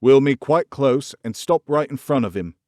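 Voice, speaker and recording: A man's voice: mid-range voice, moderate pace, mellow, no room sound, studio quality, lightly acted. A man reading the part of a frail, imperious young boy, earnest and commanding.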